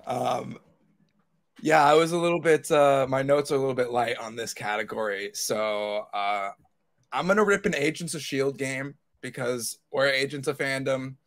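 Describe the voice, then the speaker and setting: A man's voice: medium pitch, normal speed, fairly bright tone. A man chatting casually and informally as a podcast panelist. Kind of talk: casual chat.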